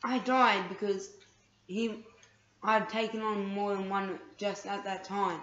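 A woman's voice: medium pitch, little pitch movement, slow, a bit bright. A woman chatting while playing a game with a friend, hesitant with many ums and a bit bossy, recorded in a room off a TV. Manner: hesitant, lots of ums, bossy with her co-player. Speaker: gamer. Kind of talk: casual gaming chat. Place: room filmed off a TV screen. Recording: echoey lo-fi room recording with noise.

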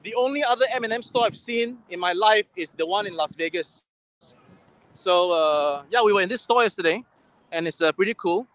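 A man's voice: high voice, a little fast, fairly bright tone. A man in his forties hosting a casual livestream while walking a busy city street, chatting and reading viewer comments, filmed on a phone at arm's length. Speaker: livestream host. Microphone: gimbal-mounted phone at arm's length. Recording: faint street noise.

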